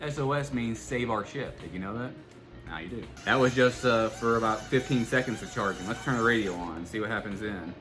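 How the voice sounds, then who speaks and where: Casting: man with a fairly low voice for a casual hands-on review, hesitant, unscripted delivery, music behind him. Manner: halting, hesitant, thinking aloud. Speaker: product reviewer and channel host. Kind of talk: casual, unscripted product demonstration.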